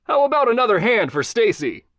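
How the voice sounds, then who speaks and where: A man voicing a charming, stylish male talk-show host who jokes and flatters his guest. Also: high-pitched, normal speed, no room sound, voice pushed up. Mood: jovial. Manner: jovial, chummy, playful.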